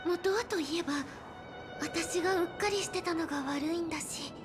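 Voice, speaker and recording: A woman voicing a calm, practical young girl explorer in an anime game, soft-spoken and matter-of-fact. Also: very high-pitched, moderate pace, over music. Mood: modest.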